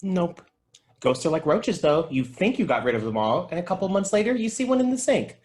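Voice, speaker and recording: A man's voice: high voice, very animated, fairly quick, a little mellow. Goofy, chatty male video store clerk, acted. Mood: animated, dramatic. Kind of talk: table read of a comedy TV pilot.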